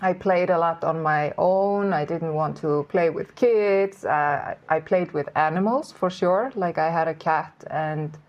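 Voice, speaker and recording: Slow, low-voiced Icelandic woman. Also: a little mellow, muffled recording. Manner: hesitant, with pauses and fillers. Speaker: podcast guest. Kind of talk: casual interview.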